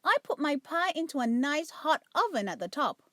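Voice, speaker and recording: A woman's voice: sits high, sing-song, moderate pace, fairly bright tone, dry recording, clean studio sound. A woman voicing an elegant little female dog in a storybook, eagerly talking things over with herself. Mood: puzzled, anxious. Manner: eager, puzzled self-talk.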